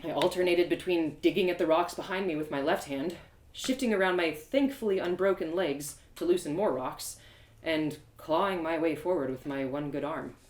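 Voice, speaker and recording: A woman giving a theatrical, expressive dramatic reading of a story as its narrator. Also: sits low, normal speed. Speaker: author performing her audio drama. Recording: roomy, echoey sound.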